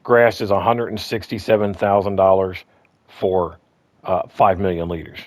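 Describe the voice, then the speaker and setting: A man with a slightly hoarse voice walking through his game farm in a casual, rambling way, full of ums and restarts. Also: low-pitched, little pitch movement, moderate pace, no room sound. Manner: rambling, repeats himself, lots of ums and restarts. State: slightly hoarse, clearing throat. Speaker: gaming guide host. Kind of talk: casual gameplay walkthrough.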